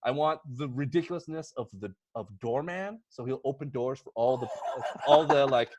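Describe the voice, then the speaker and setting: A man talks casually on a podcast panel in a close, dry recording, with a middling pitch. He rambles excitably through a comedic pitch and slips into little acted-out quotes. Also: moderate pace, no room sound.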